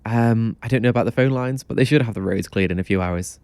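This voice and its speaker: A man's voice: on the low side, quick pace, darkish tone, smooth, no room sound, natural voice. A man playing a laid-back young motel clerk in an audio drama, offhand but kindly. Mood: helpful.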